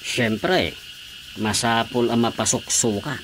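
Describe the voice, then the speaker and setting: A crafty village cockfight gambler, always scheming, with an Ilocano accent. The delivery is comic radio drama, recorded with faint background noise. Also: male voice, medium pitch, slow, fairly bright tone. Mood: reassuring, scheming.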